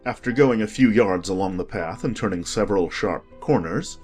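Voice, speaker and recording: A man narrating a children's story as an expressive, scripted audiobook reading. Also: on the low side, normal speed, husky, soft background music.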